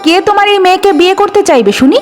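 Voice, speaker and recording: A woman's voice: very high-pitched, animated, fast, voice pushed up. A woman voicing a harsh, angry, bitter middle-aged father in an audio story. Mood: angry, scornful. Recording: long reverb with music behind.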